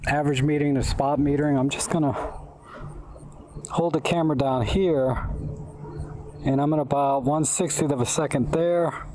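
A man's voice: fairly high pitch, fairly even intonation, normal speed, pauses often, darkish tone, slight background noise. A middle-aged man talking casually outdoors while he works through his camera settings and explains them as he goes. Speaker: photography vlogger. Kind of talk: casual talk on a photo walk. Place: outdoors in a park by the water, and in a car.

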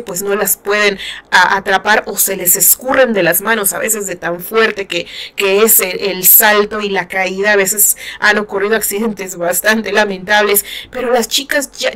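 A woman's voice: mid-range voice, a bit bright. A middle-aged woman, chatting casually about a novel she reads aloud, laughing often and using many fillers. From Mexico. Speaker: book reviewer. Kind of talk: casual chat, reading and commenting on a novel.

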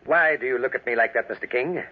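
An actor playing a prickly, proud and menacing stranger in a 1940s radio drama, stiff and commanding, on a dull old recording. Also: male voice, medium pitch, quick pace, lightly acted. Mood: irritated.

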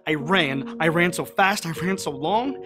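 An anguished fisherman disciple , acted by a man confesses his guilt in a dramatic monologue. His voice sits somewhat high and he talks haltingly, like an ordinary guy, over a clearly audible music bed. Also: lightly acted. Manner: halting, conversational, everyman delivery. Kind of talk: dramatic first-person monologue.

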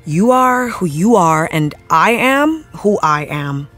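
An earnest young-spirited TV actress in an interview, thoughtful and searching for words. She takes her time, with very animated intonation. Faint music plays behind her. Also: fairly low pitch, slow, exaggerated voice. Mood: sincere, emphatic. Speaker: interviewed actress, after-show guest. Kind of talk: conversational interview.

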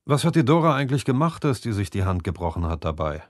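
A man acts out a village master craftsman in a fantasy audiobook. He is grudging and easily cowed, talking colloquially in a lowish voice, recorded dry. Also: big pitch swings, fast talker, dry recording. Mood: irritated.

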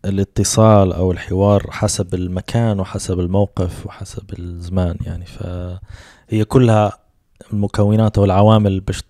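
A man in his thirties with a Libyan Arabic accent hosts a podcast, talking in a relaxed, storytelling way. His voice sits low and mellow. He speaks close to a handheld mic in a dry, dead-sounding room. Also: no room sound. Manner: relaxed storytelling with quick asides. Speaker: podcast host. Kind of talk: casual conversation. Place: small home room with soft lighting. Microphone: handheld mic with headphones.

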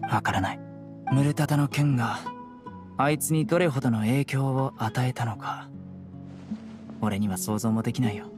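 A man's voice: mid-range voice, moderate pace, long pauses, natural voice. An actor playing a stern, composed young male investigator in a Japanese game, with a grave, restrained delivery. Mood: pensive, resolute. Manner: grave, restrained, matter-of-fact. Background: plucked-string background music.

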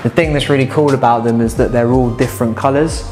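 A young man talks viewers through a house in a relaxed, enthusiastic way, pointing out features as he walks. His voice sits at an ordinary middle pitch. Faint music plays underneath. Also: fast. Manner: upbeat, enthusiastic, conversational while walking. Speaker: house tour host. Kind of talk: casual walking house tour. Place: large house, indoors and garden.

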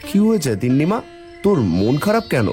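A man's voice: fairly high pitch, big pitch swings, brisk, warm tone, faint music behind. A man voicing a kindly village farmer and father with an affectionate, plain-spoken manner in a children's cartoon. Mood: tender.

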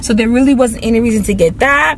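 A woman's voice: fairly high pitch, fast. A young adult woman chatting casually to her viewers as a vlogger, recorded on a camera inside a car. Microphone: camera mounted near the steering wheel. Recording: clear car and ambient noise.

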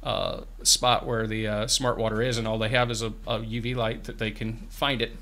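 Earnest middle-aged man with a bright voice. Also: on the low side, level tone, moderate pace. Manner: earnest, repeats and restarts words. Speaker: farm bureau representative, guest. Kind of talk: radio interview, explaining programs. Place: small radio studio. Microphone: studio microphone on boom arm, headphones.

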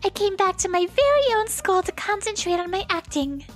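Very high, sweet young actress played by a woman. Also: very animated, moderate pace, faint music behind. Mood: cheerful, playful. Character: sweet, playful young actress disguised as cafeteria lady. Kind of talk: manga dub character acting.

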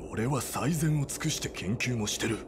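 A man's voice: mid-range voice, expressive, moderate pace, noisy background, lightly acted. Man as a strained, defensive conspirator researcher. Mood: defensive, agitated. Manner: agitated, insistent.

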